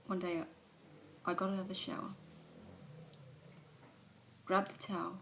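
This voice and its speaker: A woman's voice: lowish voice, flat delivery, a little slow, vocal fry, a bit bright, a little hiss or hum. A woman performing a monologue as a remorseful recovering alcoholic mother, speaking confessionally and sometimes dropping to a whisper, recorded on a phone camera. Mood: ashamed.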